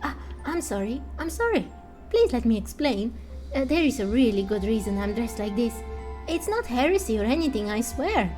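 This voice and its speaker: A woman's voice: fairly high pitch, big pitch swings, normal speed, darkish tone, soft background music. A woman voicing a quirky young priestess in a video game, theatrical and given to lofty, archaic phrasing. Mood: flustered. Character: quirky young priestess, flustered, then grandly pompous. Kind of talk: fan voice-over of video game dialogue.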